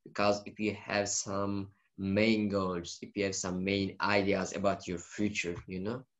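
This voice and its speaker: Hesitant young man with Turkish accent, lowish voice, casual interview. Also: flat delivery, a little slow. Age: young adult. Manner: hesitant, many fillers and restarts. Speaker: university student interviewee.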